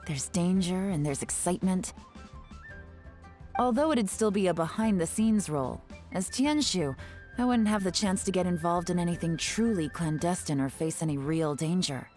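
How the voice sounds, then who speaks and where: A cool female spy, voiced by a woman, with a lowish, even voice. She is composed and wry, laying out her schemes with quiet confidence. Faint music hums beneath her in this game scene. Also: normal speed, lightly acted. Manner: composed, wry, self-assured. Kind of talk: game cutscene dialogue.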